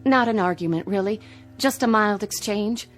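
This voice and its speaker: A woman's voice: medium pitch, normal speed, close to an everyday voice. A woman acting in a radio drama as an uneasy, worried wife of a military officer. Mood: troubled. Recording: music bed underneath.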